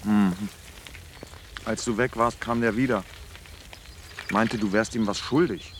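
An actor playing a sober, probing grown son who speaks and narrates matter-of-factly in a radio play. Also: male voice, on the low side, fairly even intonation, slow pace, background noise. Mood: accusing, pressing. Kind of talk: radio play dialogue and first-person narration.

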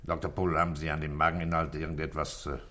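Low-voiced, methodical police commissioner. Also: male voice, flat delivery, quick pace. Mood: matter-of-fact. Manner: terse questioning and quiet orders. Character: calm, methodical male police commissioner leading a murder case. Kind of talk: German radio play acting.

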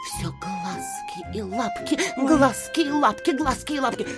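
A woman's voice: a little high, expressive, a little slow, over music. An actress playing an impatient, effusive provincial society lady in a theatrical audio drama, with affected, exclamatory delivery. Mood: gushing.

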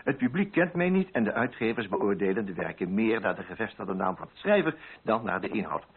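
A man reads as an earnest, needy male poet in a radio drama. The delivery is formal and pleading, with lively intonation. The voice is a little rough, and the recording is dull and narrow. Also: on the low side, fairly quick, pitched below the performer's own voice, exaggerated voice. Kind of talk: letter read aloud in radio drama. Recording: muffled, band-limited radio drama recording.

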